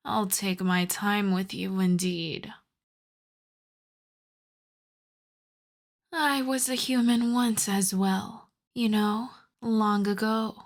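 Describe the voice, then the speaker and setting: Woman playing a slow, seductive vampire countess. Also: mid-range voice. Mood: seductive, teasing. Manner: slow, teasing, half-whispered, commanding endearments. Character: seductive, possessive vampire countess, once human, playfully menacing. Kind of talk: intimate ASMR roleplay, scripted.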